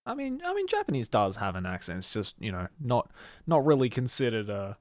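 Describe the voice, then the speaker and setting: A man streaming casually as he learns a board game, hesitant and self-deprecating, quick to laugh. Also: mid-range voice, huge pitch swings, darkish tone, dry recording, studio quality.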